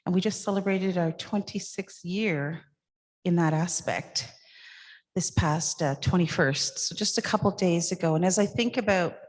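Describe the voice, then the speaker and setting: Low-voiced older woman at a podium. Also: moderate pace. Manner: warm, chatty, self-deprecating. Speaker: cultural consultant and speaker. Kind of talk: public address. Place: large event hall, at a podium. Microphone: podium microphone. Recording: reverberant event hall.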